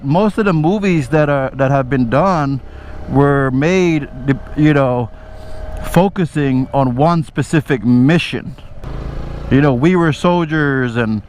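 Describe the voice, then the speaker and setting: A man's voice: fairly high pitch, slow pace. A man telling a story in a casual, conversational way, swearing freely and often checking in with the listener, as he vlogs from a motorcycle. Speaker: motovlogger and army veteran telling stories. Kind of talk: casual rambling storytelling to camera while riding. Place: outdoors, riding a motorcycle in traffic. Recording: engine and traffic noise behind voice. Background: motorbike engine and road traffic.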